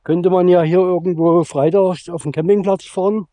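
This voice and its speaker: A man in his fifties talking casually to a camera at arm's length outdoors, spontaneous and halting. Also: high-pitched, steady tone, mellow, dry recording. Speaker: vlogger. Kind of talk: casual outdoor vlog talk. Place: open grassy field outdoors.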